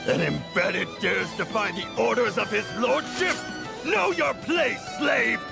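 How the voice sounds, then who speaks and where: Haughty game villain officer, slow menacing orders, big swoops, music behind. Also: male voice, sits high, lively intonation, creaky, heavily acted. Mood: outraged contempt. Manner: imperious, barking orders. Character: haughty, menacing male agent enforcing his lord's law. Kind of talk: video game cutscene acting.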